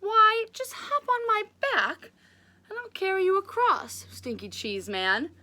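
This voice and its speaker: Woman putting on a high, sly storybook fox. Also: wildly swooping pitch, measured pace, sharp tone, no echo, exaggerated voice. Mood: coaxing. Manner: coaxing. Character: sly male storybook fox, smooth-talking trickster.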